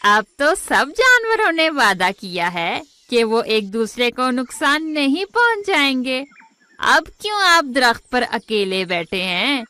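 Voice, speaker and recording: Woman as sly, bright-voiced cartoon fox lady. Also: sits high, dry recording, full character voice. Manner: wheedling, scheming, drawn-out words. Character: sly, hungry, smooth-talking female fox. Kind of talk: children's animated moral story acting.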